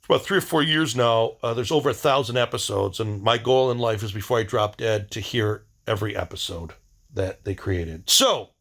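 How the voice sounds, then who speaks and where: A man hosting a casual video diary, rambling in a blunt, wry way and often halting to search for words. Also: fairly low pitch, gravelly, a bit bright.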